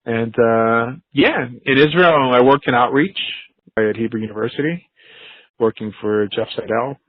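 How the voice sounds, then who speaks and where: A man's voice: mid-range voice, moderate pace, no room sound, phone-quality sound. A man, casually chatting and telling stories as host of a talk. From United States. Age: 40s. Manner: relaxed, chatty, storytelling. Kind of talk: casual conversation.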